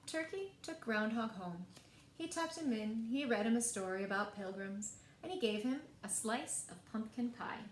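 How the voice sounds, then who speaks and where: Female children's storyteller, lively and expressive picture-book read-aloud, roomy sound with faint noise. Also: fairly high pitch, normal speed. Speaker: library storyteller. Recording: echoey room, faint background noise.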